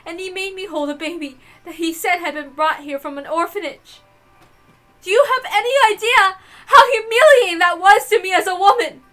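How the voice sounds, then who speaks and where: A woman's voice: very high-pitched, normal speed, fairly bright tone, faint music behind, pitched above the performer's own voice. A woman voicing an anguished married mother in a visual novel, confessing with dramatic, sobbing grief. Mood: anguished confession.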